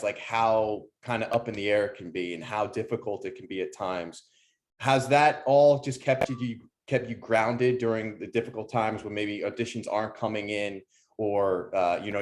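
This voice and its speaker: A man hosting an interview, asking long, rambling questions with many restarts. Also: on the low side. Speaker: interview host.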